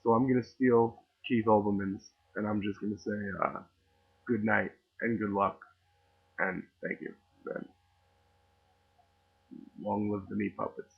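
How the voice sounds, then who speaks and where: Husky New York man ranting. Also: lowish voice, flat delivery, measured pace, long pauses. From New York City. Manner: opinionated, sarcastic, rambling with restarts. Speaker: podcast host. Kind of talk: casual ranting monologue. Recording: tinny phone-like audio.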